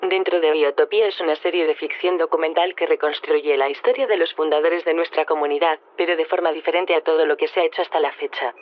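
An actor voicing a polite artificial intelligence that speaks formally and precisely over a narrow phone line. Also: male voice, a little high, close to an everyday voice. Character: polite artificial intelligence show creator with female name. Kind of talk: scripted interview answers in audio fiction. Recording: band-limited radio or telephone line.

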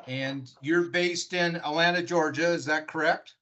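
A man's voice: on the high side, steady tone, measured pace, a bit bright, highs cut off. Middle-aged American man hosting a podcast. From Washington State, United States. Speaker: podcast host and interviewer. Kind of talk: conversational podcast interview.

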